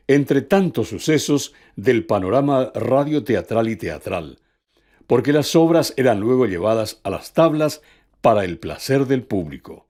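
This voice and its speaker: A man's voice: mid-range voice, darkish tone. Man reading scripted documentary narration.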